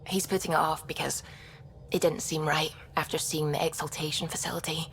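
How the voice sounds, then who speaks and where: An actress playing an earnest, curious and sociable young science crew member in a video game, chatty with hesitant restarts. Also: female voice, deep voice, flat delivery, fairly quick, a bit bright, slight background noise, poor audio. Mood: friendly, hopeful.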